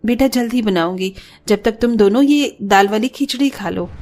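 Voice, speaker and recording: A woman voicing a poor, worried, gentle mother in a cartoon story, earnest and plaintive. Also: medium pitch, moderate pace, natural voice.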